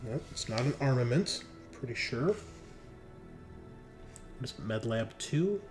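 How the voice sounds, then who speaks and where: A man's voice: medium pitch, lively intonation, a little slow, over music. A man running a tabletop game as game master, casual and easygoing, thinking aloud as he narrates and makes rulings.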